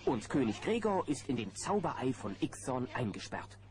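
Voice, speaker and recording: Ein Mann als Erzähler, der eine Abenteuergeschichte für Kinder vom Manuskript vorträgt. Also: male voice, medium pitch, big pitch swings, moderate pace, lightly acted. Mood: dramatic narrating. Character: storyteller of a children's adventure. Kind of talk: scripted narration. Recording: noisy old cassette recording.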